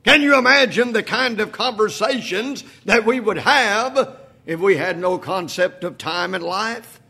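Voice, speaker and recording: A man in his sixties preaching a sermon, asking rhetorical questions and slowing to a quiet, deliberate pace for emphasis. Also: high voice, slightly rough. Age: 60s. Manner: repeats "if you please" and "think about this".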